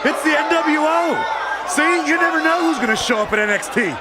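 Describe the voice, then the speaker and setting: An actor playing an excitable, indignant wrestling announcer, loudly calling the action as commentary in a video game. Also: male voice, very high-pitched, normal speed. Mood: excited, indignant. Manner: loud, projecting. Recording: noisy arena with crowd behind.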